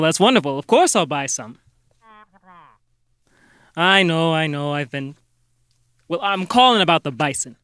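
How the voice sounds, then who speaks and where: A man plays an earnest amateur sleuth in a comic radio play. His voice sits a bit high and is recorded dry. He speaks politely and haltingly, with a folksy, slightly bumbling manner. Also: sharp tone, clear, resonant tone, no echo. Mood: chatty, obliging. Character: earnest small-town amateur detective, polite, a little bumbling. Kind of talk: comic radio-drama acting.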